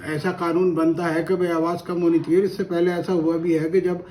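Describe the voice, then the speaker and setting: A man's voice: a little high, flat delivery, brisk, noisy background. A man from India, giving spoken opinion commentary in an earnest, argumentative way and repeating phrases for emphasis. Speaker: commentator on current affairs.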